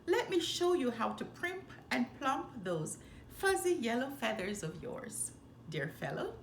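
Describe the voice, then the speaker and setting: A woman's voice: high-pitched, sing-song, a little slow, roomy sound, a little hiss or hum, exaggerated voice. A woman voicing a pompous, fussy male owl from a children's storybook, with grandiose phrasing. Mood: impressed, pompous. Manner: grandiose, pronouncing.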